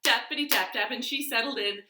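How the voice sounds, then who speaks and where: A woman telling a children's story in an animated, expressive way with dramatic pauses, as a library storyteller. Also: a little high. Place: living room. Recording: roomy, echoey home recording.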